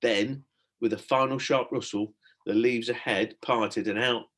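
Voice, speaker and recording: A man narrating a children's storybook in an expressive, dramatic storytelling manner, like a teacher reading aloud. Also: medium pitch, unhurried.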